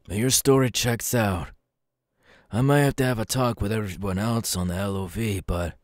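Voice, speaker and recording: A man playing a cool, sly, menacing young supervillain in an ASMR audio roleplay, speaking slowly and deliberately. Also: lowish voice, dry recording, studio quality. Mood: approving, menacing.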